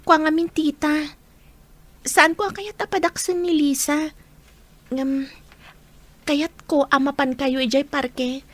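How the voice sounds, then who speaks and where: A woman's voice: sits high, steady tone, slow, pauses often. A woman playing an earnest, concerned young student who pleads sincerely in an amateur audio drama.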